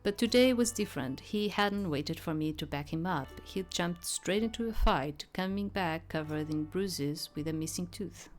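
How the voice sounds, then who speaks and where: A woman's voice: fairly low pitch, lively intonation, moderate pace, few pauses. A woman narrating a visual novel aloud in a dramatic reading style, with casual asides. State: voice starting to tire. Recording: background music.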